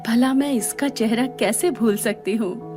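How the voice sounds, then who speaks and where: A motherly middle-aged woman, played by an actress, speaking very slowly in a fairly high, emotional voice. Soft music backs the drama scene. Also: natural voice. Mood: pleased. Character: grateful, motherly middle-aged wife, affectionate. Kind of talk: Hindi audio drama acting.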